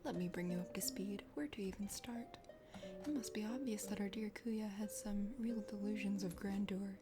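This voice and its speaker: A woman voicing a chatty, condescending dragon sister from a forest kingdom in a video game, speaking formally in a soft ASMR whisper. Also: mid-range voice, moderate pace, pitched below the performer's own voice, natural voice. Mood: amused, condescending. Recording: music behind the voice.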